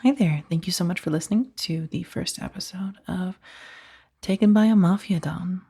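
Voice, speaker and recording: A woman, an audio roleplay creator, speaking softly to her listeners in a breathy voice. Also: fairly low pitch, normal speed. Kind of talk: soft-spoken outro to listeners.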